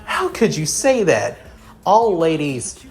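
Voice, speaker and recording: A man's voice: fairly high pitch, very animated, slow pace, pitched above the performer's own voice. A man voicing a brash, girl-chasing young hero who is pushy and easily offended, in an amateur anime story. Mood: hurt. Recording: music behind the voice.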